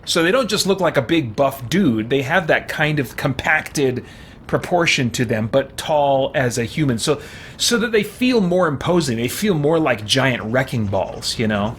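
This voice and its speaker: A man's voice: medium pitch, big pitch swings, normal speed, fairly bright tone, a little hiss or hum. A man hosting a gaming video with casual commentary, thinking aloud as he talks to himself.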